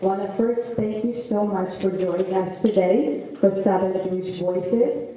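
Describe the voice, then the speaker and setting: Woman opening a community event, very mellow dark voice, quick welcome with a few stumbles, hall echoes a lot. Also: mid-range voice. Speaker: event organizer giving welcome. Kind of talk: welcome speech. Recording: very echoey hall, far mic, dull sound.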